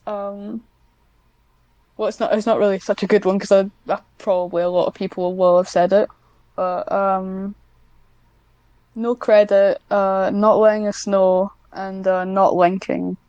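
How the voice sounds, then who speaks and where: A woman's voice: mid-range voice, flat delivery, measured pace, mellow, clear, resonant tone, dry recording, highs cut off. A woman chatting casually as a podcast guest, talking in a hesitant, self-deprecating way. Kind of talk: casual one-on-one interview chat.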